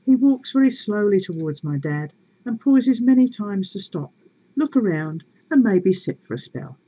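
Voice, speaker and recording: A woman reading a story aloud in a measured, expressive and wry way, with casual asides to her viewers. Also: mid-range voice, husky, highs cut off.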